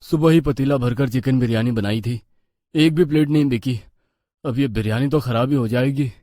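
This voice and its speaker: A man's voice: medium pitch, normal speed, warm tone, no room sound, studio quality, natural voice. A man voicing a greedy, scheming street food seller in a cartoon moral story, theatrical and full of exclamations. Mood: dismayed. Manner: exclaiming, theatrical, talks to himself. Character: greedy, scheming male biryani seller, wheedling and defensive. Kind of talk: animated Hindi cartoon moral story acting.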